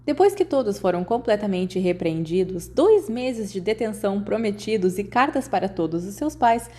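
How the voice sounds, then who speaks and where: A woman's voice: medium pitch, lively intonation, normal speed, mellow. A woman with a Brazilian accent reading a scripted story as an audiobook narrator.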